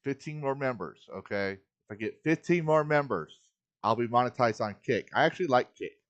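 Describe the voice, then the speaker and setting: Guy chatting on his stream, mid-pitch voice, earnest and laid back. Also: expressive, normal speed, muffled recording. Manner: earnest, conversational, greets chat. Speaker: livestream host. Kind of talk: casual livestream chat.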